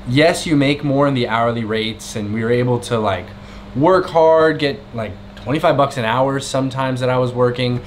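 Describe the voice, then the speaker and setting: A young man explaining things in a relaxed, matter-of-fact way to his viewers in a travel vlog. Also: medium pitch, moderate pace, a little hiss or hum. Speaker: travel vlogger. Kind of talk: casual vlog chat.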